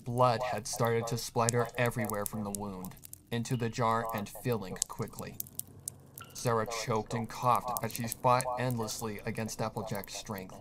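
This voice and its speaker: A man's voice: on the low side, steady tone, moderate pace, crowd noise. A man narrating a scripted horror story, reading as the narrator.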